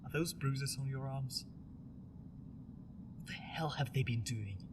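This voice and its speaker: A man's voice: medium pitch, unhurried, close to an everyday voice. A man playing an earnest, guilt-ridden prisoner. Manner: earnest, subdued. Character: captured young man, guilty and protective. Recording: reverberant, noisy ambience.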